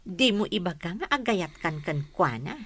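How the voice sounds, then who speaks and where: A woman's voice: fairly low pitch, big pitch swings, normal speed, husky. A woman playing a dutiful, guarded young woman in a Filipino radio drama, earnest and cautioning, with a Filipino accent. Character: dutiful, guarded young woman putting family before romance.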